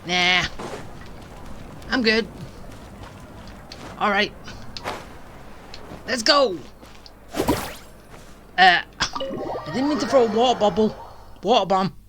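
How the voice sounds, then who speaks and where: A woman's voice: mid-range voice, slow, pauses often, noisy background. A woman giving casual gameplay commentary, rambling and tossing teasing asides at the game's characters. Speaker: game streamer. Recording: game music behind the voice.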